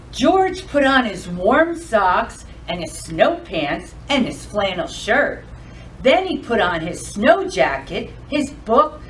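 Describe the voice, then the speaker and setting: A woman hosting a children's storytime, reading aloud and addressing young kids in an expressive, sing-song, deliberate way. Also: on the high side, recorded from a distance, a little hiss or hum, rough recording. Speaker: library storytime host.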